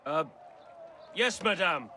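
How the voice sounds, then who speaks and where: An actor playing a deferential boat captain who calls out orders in a video game. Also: male voice, a little high, animated, takes it slowly. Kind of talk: video game cutscene acting. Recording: noisy outdoor dock ambience.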